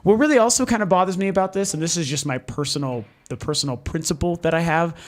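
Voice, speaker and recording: A man with a voice sitting a little above the usual male range speaks hoarsely, his voice worn down. He hosts his own game vlog in a loose, candid way, venting his frustration and swearing without holding back. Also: fairly high pitch. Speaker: video game channel host. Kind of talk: casual ranting vlog. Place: lives in Denver.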